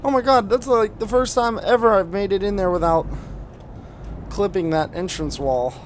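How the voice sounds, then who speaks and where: A man's voice: high-pitched, normal speed, slight background noise. A man giving casual gameplay commentary as a video host, rambling and sweary with an enthusiastic manner and a slight speech impediment. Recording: music playing behind the voice.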